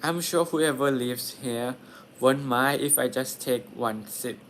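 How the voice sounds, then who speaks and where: A man's voice: medium pitch, slow pace, natural voice. A man playing a curious, hungry little fairy-tale girl in a puppet show. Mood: polite, musing. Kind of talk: puppet show character dialogue. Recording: clear background noise.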